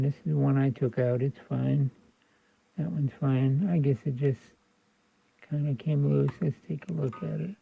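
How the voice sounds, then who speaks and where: Creaky-voiced American man, phone-like sound. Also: medium pitch. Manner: folksy, colloquial, thinking aloud. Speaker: host of a radio repair video. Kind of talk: unscripted hands-on repair commentary.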